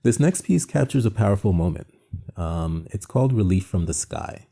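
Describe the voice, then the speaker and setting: A man's voice: lowish voice, animated, moderate pace, gravelly, warm tone. A man from Jamaica hosting an art presentation, talking in a conversational, reflective and earnest way with frequent asides. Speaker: art show host and founder.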